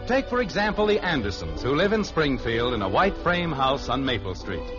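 A man's voice: medium pitch, very animated, normal speed. A man with an American accent reading scripted narration as an announcer on 1950s radio. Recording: muffled old radio broadcast recording. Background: music.

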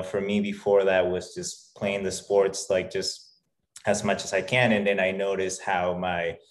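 A man from Peru speaks in a notably low voice, telling his story as a podcast guest. He talks loosely and haltingly, full of "uh" and "you know" and restarted phrases. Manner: halting, many fillers and restarts. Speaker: guest, fitness trainer. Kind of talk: casual interview talk.

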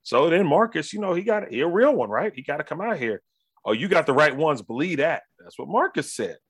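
An American man hosting a casual sports talk show, telling stories in an animated, slangy way with frequent check-ins to the listener. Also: a little high, fairly quick, husky, no room sound, clean studio sound. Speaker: sports talk podcast host.

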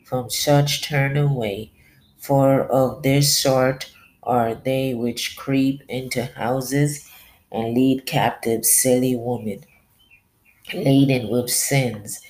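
Woman doing a podcast sermon, nasal and sniffly, talks real slow and earnest. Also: extremely low pitch, steady tone, a little mellow. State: stuffy nose, sniffling. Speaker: podcast preacher. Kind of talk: Bible reading and devotional talk.

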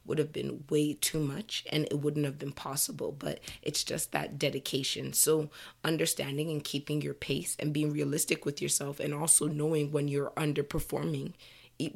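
Froggy, gravelly podcast host with a cold. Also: mid-range voice. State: slight cold. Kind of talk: motivational monologue to listeners.

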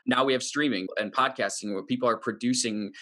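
A man's voice: mid-range voice, brisk, pushed and bright. A man hosting and asking questions in a conversational podcast interview. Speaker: interviewer, host.

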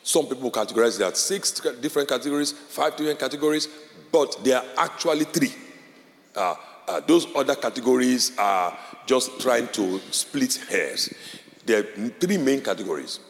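A pastor walks his class through a lesson, a Yoruba speaker whose voice sits at an ordinary middle pitch. He repeats his key points and often asks whether everyone is following. His voice carries a long reverb, as if he is speaking in a large hall. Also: lively intonation, normal speed. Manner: explains step by step, checks in with "all right?" and "okay?"; repeats key phrases for emphasis. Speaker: pastor teaching a Bible class. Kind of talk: classroom-style teaching talk.

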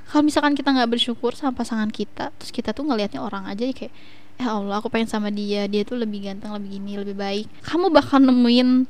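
A woman in her twenties talking as a guest on a casual podcast, relaxed, with hesitant fillers and frequent giggles. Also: fairly high pitch, fast talker. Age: 20s. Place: coffee shop.

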